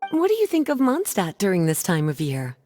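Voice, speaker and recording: A woman's voice: mid-range voice, wildly swooping pitch, brisk, warm tone. A woman voicing an elegant, playfully teasing young scholar in a video game, leisurely and coy, over music. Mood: warm, reflective. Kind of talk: scripted game dialogue acting.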